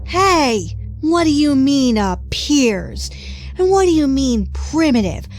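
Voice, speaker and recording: Woman as a high-voiced, sassy spoiled girl. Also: very animated, slow pace, a little mellow, faint music behind, heavily acted. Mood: indignant. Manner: huffy, sassy. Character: spoiled, indignant young rich girl, sassy. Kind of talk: audio drama acting and first-person narration.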